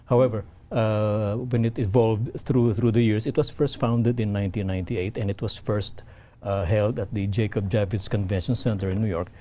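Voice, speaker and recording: A man from the Philippines, a guest on an interview show, explaining in halting talk with many restarts. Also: fairly low pitch, steady tone, mellow. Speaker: event representative guest.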